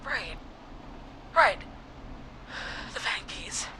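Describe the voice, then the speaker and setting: An actress playing a desperate young woman leading a getaway plan in a video game, with noise behind her voice. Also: very slow. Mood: shocked, shaken.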